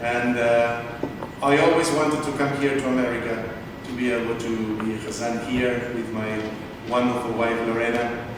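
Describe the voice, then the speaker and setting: A man, a cantor giving a heartfelt, grateful, slightly halting acceptance speech. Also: medium pitch, level tone. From Argentina. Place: large synagogue hall. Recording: echoey hall from far off, noisy.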